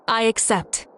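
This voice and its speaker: Casting: poised female warrior leader for a game, assured and composed, slightly high voice. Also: sharp tone, exaggerated voice. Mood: calm, intrigued. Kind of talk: video game voice acting.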